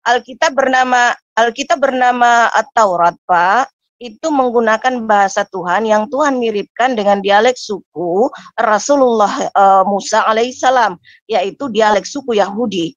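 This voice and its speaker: A woman's voice: on the high side, normal speed, no room sound. A woman on a video call, explaining her view steadily in a casual debate. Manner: explains steadily, answers back when interrupted. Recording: dull lo-fi call audio.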